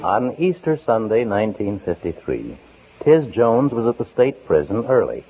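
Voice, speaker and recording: A man narrating a 1950s radio drama in a solemn, measured, dramatic way. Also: on the low side, very animated, very noisy. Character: grave, dramatic male storyteller of a true crime tale. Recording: old 1950s radio broadcast, narrow tinny band.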